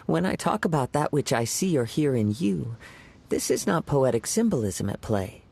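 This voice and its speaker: Courteous, poetic young samurai. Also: male voice, fairly high pitch, very animated, creaky, a little mellow, breathy edge, full character voice. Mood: calm, earnest. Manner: formal, eloquent, measured. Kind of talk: video game character dialogue. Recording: music behind.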